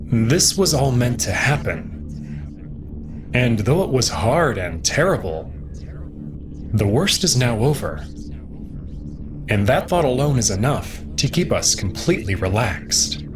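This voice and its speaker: A man reading dramatic first-person fiction in a dreamy, drawn-out way. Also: medium pitch, big pitch swings. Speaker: reader voicing a first-person protagonist. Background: music.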